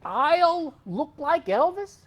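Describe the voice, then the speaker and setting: An actress playing a dreamy, playful would-be Elvis in a theatrical comedy reading, with drawn-out delivery. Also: female voice, high voice, very animated, dull audio, exaggerated voice.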